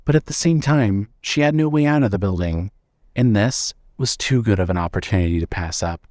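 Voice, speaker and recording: A man narrating a scripted story in a measured, suspenseful way. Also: lowish voice, lively intonation, a little mellow, no room sound, clean studio sound.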